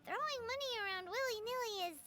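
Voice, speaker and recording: A very high, squeaky little fairy girl bounces through game dialogue over prominent music. She is bubbly, cheeky and childlike, with a very animated delivery. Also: quick pace. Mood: cheeky, questioning. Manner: chirpy, blunt, refers to itself by name.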